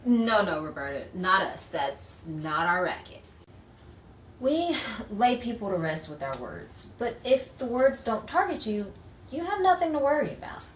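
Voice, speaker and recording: A woman's voice: medium pitch, sing-song, measured pace. A woman playing a persistent, coaxing newspaper reporter in an improvised noir radio play. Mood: persuasive, firm. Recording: roomy, distant mic with faint noise.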